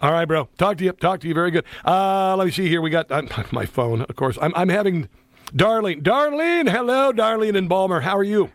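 A man's voice: high-pitched, expressive, a little fast, no room sound. A man in his fifties hosting a talk radio show, rambling and chummy, laughing often. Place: radio studio. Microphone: studio microphone.

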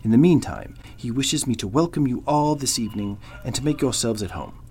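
A man's voice: mid-range voice, lively intonation, fairly quick, darkish tone, a little hiss or hum, close to an everyday voice. A man playing a polite, discreet butler in a radio drama, speaking in a formal, deferential manner. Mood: formal, apologetic. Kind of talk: golden-age radio drama acting.

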